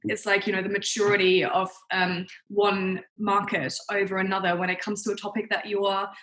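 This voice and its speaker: Bright-voiced young woman from New Zealand and Germany. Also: mid-range voice, little pitch movement, fairly quick, low-quality recording. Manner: chatty, frequent "like" and "you know". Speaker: guest, marketing consultant. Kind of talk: conversational interview answers.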